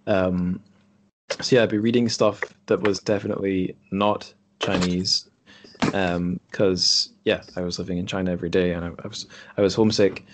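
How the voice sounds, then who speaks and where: A man's voice: low voice, level tone, moderate pace, background noise. A man hosting a podcast, talking casually in a rambling, reflective way. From Scotland. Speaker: podcast host. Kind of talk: casual conversation. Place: group online call.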